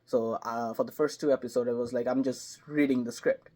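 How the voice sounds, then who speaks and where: A man talking casually as a guest in an interview. His voice sits at an ordinary middle pitch, and it climbs and swells when a story gets exciting. His phrasing is loose and full of small hesitations. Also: brisk. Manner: animated storyteller, jumps higher and louder when excited. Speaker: voice actor guest.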